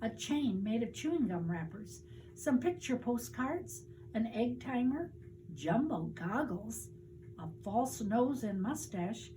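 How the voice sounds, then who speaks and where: An older woman, a grandmother, reading a children's storybook aloud in a deliberate, animated storytelling way. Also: fairly high pitch, noisy background.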